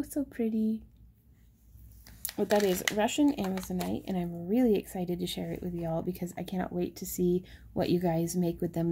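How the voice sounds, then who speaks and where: A chatty woman, showing handmade stones in a casual shop update, talking softly and excitedly over the camera. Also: mid-range voice, a little hiss or hum. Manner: chatty and excitable, drops into soft whispers over pretty stones. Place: indoors at a craft table.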